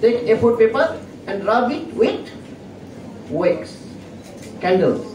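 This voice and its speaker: Soft-spoken male professor, Indian English accent, raised pitch, lecturing haltingly; recorded from afar in a reverberant hall. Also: animated. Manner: halting, with frequent fillers and self-corrections. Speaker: chemistry professor. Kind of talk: classroom lecture. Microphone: fixed microphone at a distance. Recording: echoey hall, distant mic, noisy.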